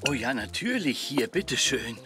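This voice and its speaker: A man voicing a kindly, curious older grandpa zebra in a children's cartoon, gently encouraging. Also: mid-range voice, sing-song, normal speed, over music, lightly acted. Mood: kindly, interested. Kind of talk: dubbed children's cartoon acting.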